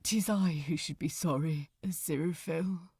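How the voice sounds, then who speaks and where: A woman performs a doomed gentleman shipbuilder for a story reading. The voice is low, trembling and quiet, formal and courteous, halting with grief. The recording is close and dry. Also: breathy edge, no room sound. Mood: grieving, apologetic. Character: Thomas Andrews, grief-broken, courteous gentleman shipbuilder resigned to death.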